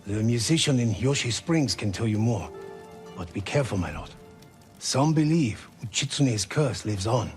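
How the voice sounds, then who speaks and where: A man's voice: medium pitch, lively intonation, normal speed, soft background music, close to an everyday voice. A man acting a superstitious, uneasy commoner in a video game, telling ominous folklore with deference. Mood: ominous, wary.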